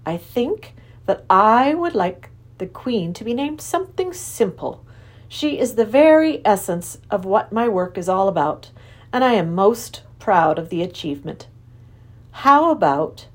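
A woman voicing a kindly, calm professor who talks in a gentle, measured, earnest way. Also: mid-range voice. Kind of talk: storybook reading.

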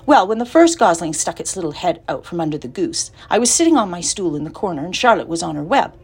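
A woman's voice: lowish voice, big pitch swings, fast, husky, full character voice. A woman voicing an earnest, chatty young farm girl in a storybook, innocent and matter-of-fact. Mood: eager.

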